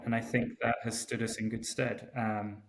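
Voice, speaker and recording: A winemaker man from Portugal, a guest on a remote call, talking in a relaxed, hesitant way with many ums and restarts. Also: lowish voice, normal speed, vocal fry, noisy background. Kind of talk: casual interview chat. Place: home in Porto, remote call.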